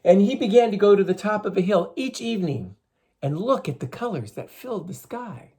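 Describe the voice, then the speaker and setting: A man reading a story aloud to schoolchildren in an expressive, teacherly way, with emphatic phrasing. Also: high voice, fairly quick, slightly rough. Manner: teacherly, emphatic, addresses listeners with questions.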